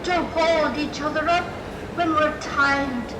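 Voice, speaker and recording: An actress as a high, echoing, fervent leader. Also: female voice. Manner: impassioned, exhorting, deliberate. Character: fervent female cult-like group leader rallying followers. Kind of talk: rallying speech in an audio drama. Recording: echoey, noisy gathering ambience.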